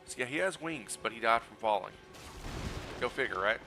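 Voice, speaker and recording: A man streaming a video game while sick, hoarse and scratchy, talking through his play in a relaxed, explanatory way and greeting his chat. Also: normal speed, music in the background. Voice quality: scratchy, low energy. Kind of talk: casual gameplay walkthrough commentary.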